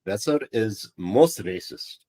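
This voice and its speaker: A man's voice: lowish voice, lively intonation, slow, mellow. A man putting on a showy Pakistani accent. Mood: mock formal. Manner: exaggerated, formal comic phrasing.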